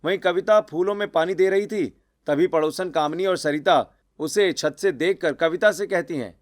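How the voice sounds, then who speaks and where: A man's voice: sits high, moderate pace. A man narrating a scripted cartoon story.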